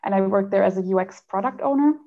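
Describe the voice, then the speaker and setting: A woman's voice: mid-range voice, quick pace, muffled recording. A woman from Germany chatting casually as an interview guest, telling her career story in a rambling way with lots of "like". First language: not French. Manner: chatty, rambling, frequent "like" and laughs.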